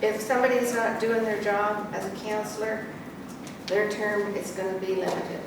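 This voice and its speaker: A female council candidate answers with frequent pauses and ums. She sounds distant from the mic in a very echoey hall, with clear room noise underneath. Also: mid-range voice, steady tone. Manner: hesitant, many ums. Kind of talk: debate answer. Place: public meeting hall.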